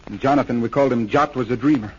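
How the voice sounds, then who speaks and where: Actor as an earnest young war veteran, muffled radio. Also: male voice, mid-range voice, fast, slightly rough, natural voice. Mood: earnest confessing. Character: distraught young war veteran confessing to stealing flowers. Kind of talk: 1930s radio drama acting. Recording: muffled 1930s radio recording.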